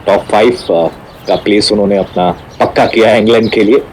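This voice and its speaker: Fast-talking male radio cricket commentator. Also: fairly low pitch, steady tone, a little mellow, rough recording. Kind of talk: live radio sports commentary. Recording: radio broadcast played aloud, faint noise.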